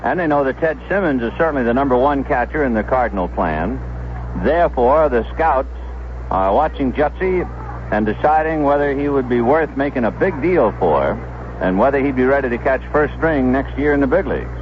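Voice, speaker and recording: A man's voice: medium pitch, lively intonation, moderate pace, lo-fi sound, slight background noise. A man giving live play-by-play baseball commentary as a radio announcer on a 1970s broadcast.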